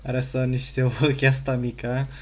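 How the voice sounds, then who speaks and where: A Romanian man streams a game with a flat, medium-pitched voice. He rambles offhandedly and casually. There is faint noise with some keyboard clicking behind him. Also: normal speed. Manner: offhand, rambling, mild swearing. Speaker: gaming streamer. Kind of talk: casual muttered game commentary.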